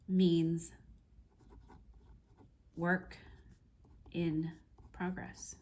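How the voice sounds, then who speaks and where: A woman with a drawl talks through crochet pattern terms in an unhurried, casual way. Her voice is hoarse, stuffy and gravelly from allergies, pitched a little low. She spells out letters one at a time before explaining them. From North Carolina, United States. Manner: slow, careful spelling out of abbreviations. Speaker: crochet teacher. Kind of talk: casual tutorial.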